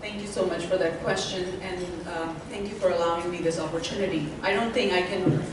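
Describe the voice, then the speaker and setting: A woman's voice: lowish voice, steady tone, a little fast, recorded from a distance, heavy background noise. Indian-accented woman in an echoey hall. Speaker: panelist, associate dean. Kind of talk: panel talk to a symposium audience.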